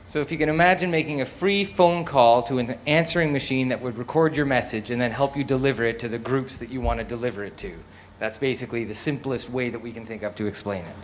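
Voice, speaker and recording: Man leading a conversational live pitch. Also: fast talker, slight background noise. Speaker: lead presenter.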